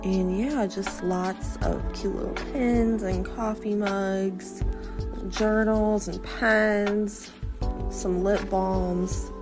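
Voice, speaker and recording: A woman's voice: mid-range voice, fairly even intonation, drawn-out words. A woman in her thirties with a stuffy nose, casually narrating a tour of a small cat cafe shop with lots of fillers. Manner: chatty, filler-heavy, upbeat and easygoing. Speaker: small business vlogger. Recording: voice over loud background music.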